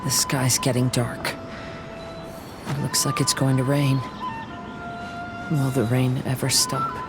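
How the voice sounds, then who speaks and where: An actor playing a serene young wandering samurai poet in a game, speaking gently in a measured, poetic way. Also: male voice, medium pitch, little pitch movement, over loud music, natural voice. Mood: wistful.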